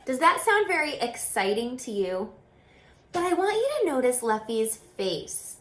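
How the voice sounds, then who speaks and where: Woman, higher-pitched voice, unhurried pace, children's read-aloud, expressive teacherly delivery. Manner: expressive, explaining, asks listeners questions. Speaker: story reader and teacher. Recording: roomy, echoing room sound.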